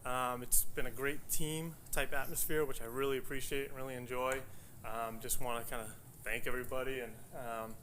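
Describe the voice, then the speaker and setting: Bright-toned middle-aged New man, laid-back humble thanks, faint hiss. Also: medium pitch, moderate pace. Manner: relaxed, humble, many fillers. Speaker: guidance counselor. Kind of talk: formal self-introduction at a meeting.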